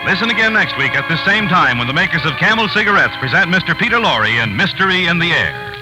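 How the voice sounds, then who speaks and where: A man's voice: a little high, big pitch swings, fast, rough recording. An American man announcing from a script in the style of 1940s network radio. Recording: vintage 1940s radio broadcast. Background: music.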